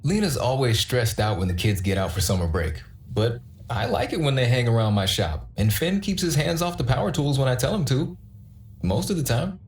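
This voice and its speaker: A man's voice: mid-range voice, expressive, normal speed, darkish tone, roomy sound, a little hiss or hum, lightly acted. A man voicing an easygoing shopkeeper in a video game. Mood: fond, wry. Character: easygoing adult male shopkeeper, fond of kids. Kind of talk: voiced video game dialogue.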